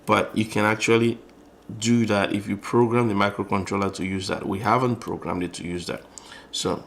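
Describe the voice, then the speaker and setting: A man's voice: fairly low pitch, fairly even intonation, moderate pace, creaky, slight background noise. A man narrating an informal hands-on tutorial in a conversational, explanatory way, often rephrasing as he goes. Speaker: electronics tutorial presenter.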